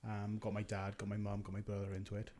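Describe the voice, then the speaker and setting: A man's voice: on the low side, completely flat delivery, warm tone, slight background noise. A Welsh man from Mid Wales chatting plainly as an interview guest, with a joking streak. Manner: brisk, plain-spoken, jokey. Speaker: guest bowls player. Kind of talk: casual interview chat.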